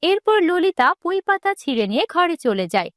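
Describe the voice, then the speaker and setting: A woman's voice: very high voice, sing-song, a little fast. A woman reading scripted narration for a fairy-tale story. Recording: music behind the voice.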